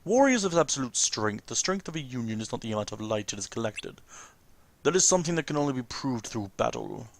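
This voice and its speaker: A man's voice: mid-range voice, sing-song, a little fast, creaky, no room sound. A man voicing a hostile, suspicious young warrior who speaks sternly in a video game story.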